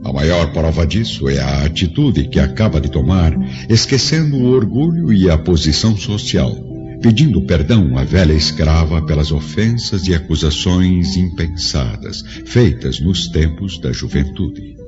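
A man's voice: sits low, animated, normal speed. A man narrating a radio drama from a script in a solemn, grandiose storytelling manner. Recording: dull lo-fi audio, prominent music behind.

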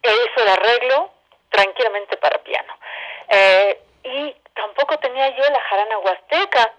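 Mexican lady, kinda high voice, telling stuff casually like a guest calling in, dull phone audio. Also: normal speed, a bit bright. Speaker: interview guest, theatre director. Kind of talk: casual explanatory storytelling. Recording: dull telephone-line sound.

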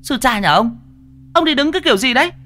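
A man's voice: extremely high pitch, lively intonation, normal speed, bright, forceful tone, faint music behind, voice pushed up, full character voice. A man voicing a hysterical village wife in an audio story, with a panicky, stammering, wailing manner. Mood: furious, alarmed.

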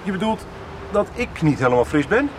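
An actor in a radio soap playing a proud, touchy middle-aged farmer, blunt, sarcastic and defensive. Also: male voice, sits high, sing-song, fairly quick, background noise. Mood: defensive, indignant.

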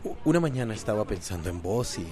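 A man's voice: lowish voice, big pitch swings, fast, mellow. An actor playing an affectionate, playful husband and father-to-be in a radio soap. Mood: playful, tender. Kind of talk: Spanish-language radio soap acting.

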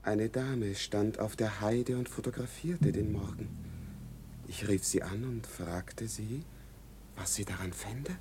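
Dreamy soldier in a radio play, lowish voice, deferential, kinda poetic, bit of background noise. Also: male voice, slow, darkish tone. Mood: dutiful reporting. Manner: lyrical, deferential. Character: dreamy condemned soldier reporting to his captain. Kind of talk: poetic German radio drama acting.

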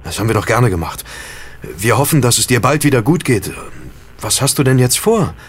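An actor playing a friendly young amateur sleuth in an audio drama, casual and soothing with slight hesitations. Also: male voice, mid-range voice, lively intonation, brisk, a little hiss or hum, natural voice. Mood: reassuring. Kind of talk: German audio drama acting.

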